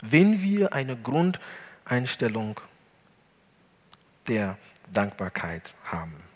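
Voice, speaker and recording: A male pastor, not a native German speaker, preaching an earnest sermon in a church hall with deliberate emphasis. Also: mid-range voice, sing-song, slightly rough. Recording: long hall reverb.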